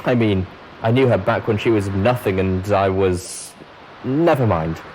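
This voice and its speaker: A man voicing an excitable, boastful female pony leaving a recorded message, with gushing, theatrical emphasis. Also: on the low side, measured pace, slight background noise, close to an everyday voice, rough recording. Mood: excited, boastful. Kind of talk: recorded message in a fan fiction reading.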